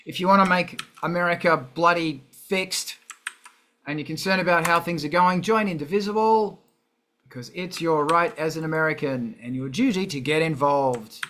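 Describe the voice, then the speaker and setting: A man's voice: fairly high pitch, normal speed, sharp tone, a little hiss or hum. A man hosting a casual livestream in a sweary, jokey, rambling manner. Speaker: show host.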